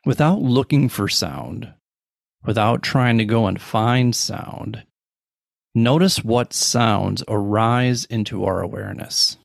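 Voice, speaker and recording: A middle-aged man guides a meditation in a low voice with plenty of vocal fry. He speaks calmly in slow, measured phrases and leaves long pauses between them. The sound is close and dry, with no room echo.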